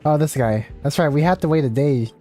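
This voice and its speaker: A man's voice: fairly high pitch, brisk, dark tone. A man live streaming a video game, chatting casually and rambling as he thinks aloud. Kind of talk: casual gameplay livestream chat, reading game text aloud. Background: game music.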